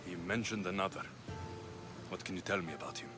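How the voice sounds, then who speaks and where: A man's voice: low-pitched, fairly even intonation, brisk, over loud music, close to an everyday voice. A man playing a battle-hardened young Greek mercenary, an earnest and determined son, speaking with blunt intensity in a game cutscene. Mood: searching, earnest. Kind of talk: dramatic video game cutscene acting.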